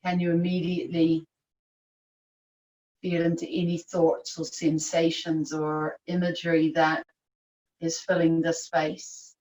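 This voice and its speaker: Female yoga nidra teacher, lowish voice, soothing guided meditation, very unhurried delivery, recorded in an echoey room. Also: level tone, a little mellow. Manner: soothing, unhurried, long pauses. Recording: echoey room, distant microphone.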